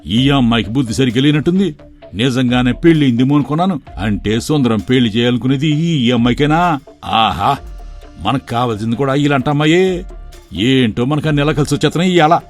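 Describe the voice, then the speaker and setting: A man's voice: medium pitch, lively intonation, fairly quick, faint music behind, close to an everyday voice. A man playing a scheming middle-aged village uncle in a dramatised moral story, sly and falsely affectionate, with folksy rustic speech. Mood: sly muttering.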